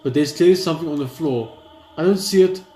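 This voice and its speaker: A young man hosting a gaming video, reading story text aloud haltingly and stumbling over words. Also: a little high, mellow. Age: young adult. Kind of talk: let's play, reading visual novel text aloud. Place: indoor room at home. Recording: roomy echo under game music.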